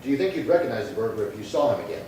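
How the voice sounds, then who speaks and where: A man's voice: lowish voice, sing-song, racing, mellow. A man acting a terse, courteous police detective in a 1950s-style radio crime drama, asking clipped, matter-of-fact questions. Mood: patient, dry. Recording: very echoey, distant, faint noise.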